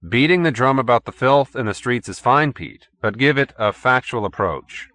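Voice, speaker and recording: Exasperated newspaper editor, done by a male reader, brusque, bossy, skeptical, animated pitch, story character. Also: normal speed, lightly acted.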